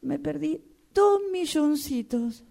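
Actress as slow, dramatic, unlucky Argentine woman. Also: high voice, lively intonation, vocal fry, dark tone, full character voice. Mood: aggrieved, wistful. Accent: Rioplatense Argentine Spanish. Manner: dramatic, complaining storytelling. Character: desperate, unlucky, self-pitying woman, devoted client of a fortune-teller. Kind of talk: radio drama acting, conversational dialogue.

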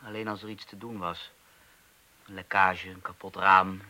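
A man's voice: sits low, little pitch movement, moderate pace, bright, forceful tone. An actor with a Netherlands Dutch accent playing a world-weary, bitter man in a radio drama, speaking in clipped, sardonic phrases. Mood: guarded. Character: bitter, blunt, world-weary ex-psychiatric patient, man over thirty.

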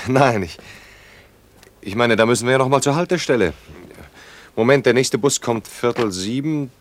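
An actor playing a shy, courteous bachelor in his early thirties in a naturalistic radio play, speaking hesitantly with gentle irony. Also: medium pitch, unhurried, long pauses, lightly acted. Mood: awkward, probing. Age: 30s. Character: shy, courteous, wry bachelor of thirty-two, eager host.

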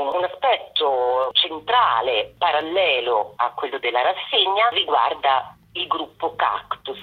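A woman's voice: rumbling low, slightly rough. A middle-aged woman explaining a project as an interview guest, discursive and searching for words. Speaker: interview guest, theatre project co-artistic director. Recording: muffled narrowband telephone line.

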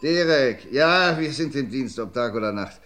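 An actor playing a shrewd, dogged police inspector in an old radio crime play, theatrical and swinging from excited outbursts to quiet menace. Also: male voice, mid-range voice, moderate pace, background noise, close to an everyday voice. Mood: determined. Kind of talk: 1950s-60s radio crime play acting.

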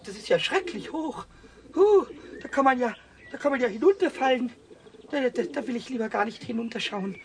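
A man voicing a cheeky, excitable little kobold in a children's radio play, squeaky and shrill, stammering and repeating words. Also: moderate pace, a little hiss or hum. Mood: nervous, wondering.

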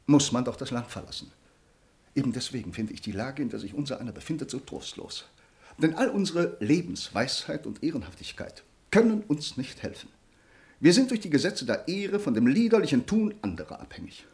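Proud formal nobleman in a radio play, medium pitch, really swoops around. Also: darkish tone. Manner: formal, eloquent, earnest. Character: honor-bound young nobleman, grateful, earnest, passionately restrained. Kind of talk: German radio play acting of classic drama.

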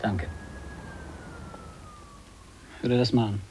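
A man's voice: lowish voice, steady tone, a little fast, over a noisy crowd, natural voice, poor audio. Terse, suspicious male gag writer played by actor. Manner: terse, questioning. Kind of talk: 1980s German radio drama acting.

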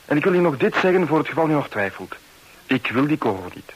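Earnest, slightly husky young man. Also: on the high side, sing-song, quick pace, poor audio. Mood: earnest, pleading. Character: earnest young American art dealer named Dick Branton. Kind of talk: radio drama acting. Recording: old radio drama recording.

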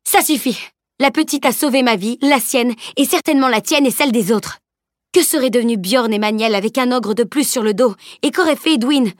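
A woman's voice: a little high, unhurried, hardly any pauses, dry recording, studio quality, voice pushed up, lightly acted. A woman voicing a fierce warrior woman. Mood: indignant. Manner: indignant, sharp, protective.

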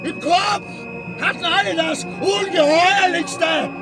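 An actor playing an obsessive, tormented scholar in a radio play monologue, speaking emphatically and haltingly, repeating himself. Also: male voice, very high-pitched, steady tone, loud music behind the voice, exaggerated voice. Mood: agitated, ranting. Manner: emphatic, repetitive, halting delivery with drawn-out words. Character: obsessive, tormented scholar consumed by his life's study.

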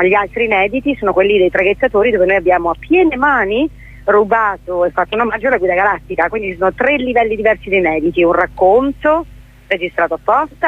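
A woman's voice: mid-range voice, dry recording. Woman on a tinny phone line. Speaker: theatre director, interview guest. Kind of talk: spontaneous radio interview. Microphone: telephone. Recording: narrow, tinny telephone line.

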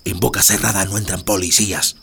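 A man's voice: low voice, steady tone, fairly quick, gravelly, pushed and bright, slight background noise, exaggerated voice. An actor playing a brazen, menacing male ex-convict, smug and terse in manner.

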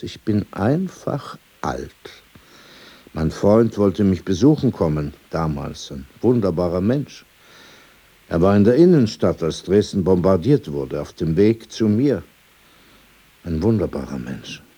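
A man's voice: fairly low pitch, mellow, slightly rough, heavily acted. An actor playing a world-weary, prickly old man in a radio drama, addressing someone formally with probing questions and sudden turns to reminiscence. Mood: weary, reminiscing. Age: older.

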